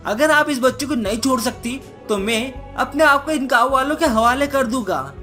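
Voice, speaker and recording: A woman's voice: high voice, animated, normal speed, a bit bright, pitched above the performer's own voice. A woman voicing a kind-hearted young ghost boy who pleads earnestly in a cartoon story. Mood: determined. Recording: music behind the voice.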